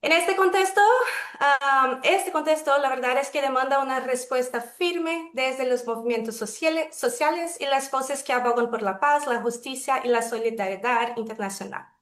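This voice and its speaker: Brasileña moderando con voz aguda. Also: female voice, high voice, fairly bright tone, roomy sound. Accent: Brazilian Portuguese. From Brazil. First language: Portuguese. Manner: measured, careful, self-correcting. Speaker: webinar moderator. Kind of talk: formal opening remarks. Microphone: video call.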